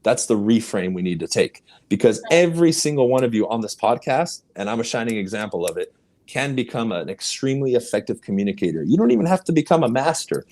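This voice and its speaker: A man's voice: sing-song, quick pace, a little mellow. A man whose first language is Spanish, speaking English as an emphatic, punchy real estate coach, a guest on a podcast. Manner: emphatic, punchy, sweeping pitch jumps. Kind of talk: motivational podcast interview.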